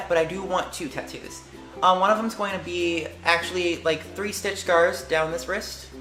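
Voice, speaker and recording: Chatty teen girl, lower voice, echoey room. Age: late teens. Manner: chatty and lively, with slang and quick tangents. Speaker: vlogger. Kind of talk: casual chat answering tag questions.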